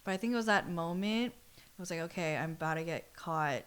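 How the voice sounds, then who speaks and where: A young adult woman, telling personal stories in a casual, animated, slangy way. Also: lowish voice, fairly quick, smooth. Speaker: podcast guest. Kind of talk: casual chat, personal storytelling.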